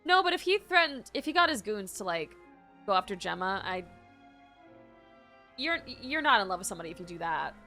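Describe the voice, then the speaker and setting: A woman's voice: mid-range voice, sing-song, fairly quick, pauses often, sharp tone, soft background music. A woman doing casual gaming commentary, slangy and chatty, talking back to the characters. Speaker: streamer reacting to a game.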